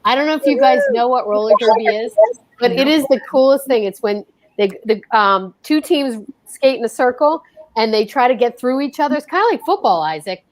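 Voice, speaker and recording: Gushing high-voiced woman hosting. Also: expressive, fairly quick. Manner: gushing and exclamatory. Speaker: host of a live radio-play show. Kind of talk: excited, chatty live hosting.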